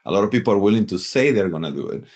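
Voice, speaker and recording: A middle-aged man with a Peruvian accent speaks in a very low voice, thoughtful and earnest as he shares advice. The audio is dull and lo-fi, as if recorded over a call. He talks in a relaxed interview style. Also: very fast. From Peru. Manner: earnest, reflective, advice-giving. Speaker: sales executive guest. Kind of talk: casual interview chat.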